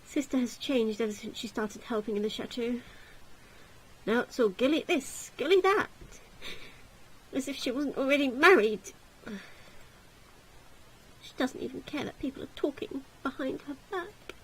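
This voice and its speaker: A woman's voice: high-pitched, moderate pace, pauses often, slight background noise, natural voice, low-quality recording. A woman voicing a bitter, hot-tempered young woman in a visual novel, indignant and sarcastic, with emphatic delivery.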